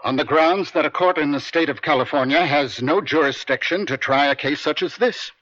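An actor playing an eloquent, combative defense attorney in an old radio drama, speaking in formal rhetorical style. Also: male voice, fairly high pitch, brisk, fairly bright tone. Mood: formal, insistent. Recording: dull lo-fi vintage radio.

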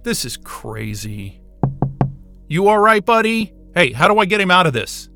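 An actor playing an irritable, sarcastic, sweary astrophysicist in a science fiction audio drama. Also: male voice, high-pitched, sing-song, a little slow, creaky, sharp tone, no room sound, faint music behind, full character voice. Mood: grumbling, puzzled. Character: Wayne, irritable sarcastic astrophysicist, adult man. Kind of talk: naturalistic audio drama acting.

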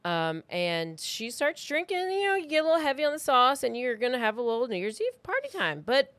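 A woman podcast co-host telling a true crime story in an informal, conversational way, with halting asides. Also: fairly high pitch, very animated, a bit bright, no echo.

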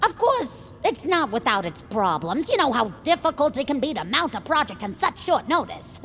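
A sneaky little henchman creature, squeaks in a high, lively voice. It fawns cautiously on its master, in a reverberant space with some background noise. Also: moderate pace, exaggerated voice. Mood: nervous fawning. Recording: reverberant room with background ambience.

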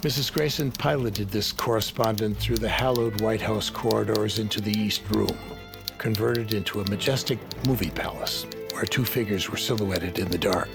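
A man playing a cynical 1910s newspaper correspondent in an audio drama, dry, deadpan and sardonic. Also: fairly low pitch, moderate pace, gravelly. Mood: florid. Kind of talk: audio fiction acting, florid report narration. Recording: prominent music behind the voice.

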